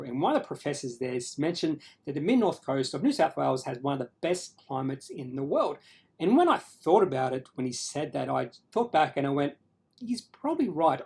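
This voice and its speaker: Male video host, Australian, mid-pitched, casual and explanatory, with a halting, self-correcting delivery. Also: darkish tone. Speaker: finance video creator and host. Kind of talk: casual explanatory talk to viewers.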